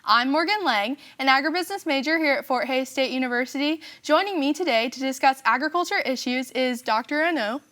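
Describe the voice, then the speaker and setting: A woman's voice: high voice, brisk, hardly any pauses, fairly bright tone. A young woman, a college student hosting an interview, asking questions in an upbeat manner. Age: young adult. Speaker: student host.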